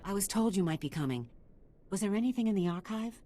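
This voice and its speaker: A woman playing an earnest, expressive researcher in a video game. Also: medium pitch, fast, soft background music, natural voice. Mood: amazed, earnest. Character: earnest, amazed female researcher. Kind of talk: game character dialogue.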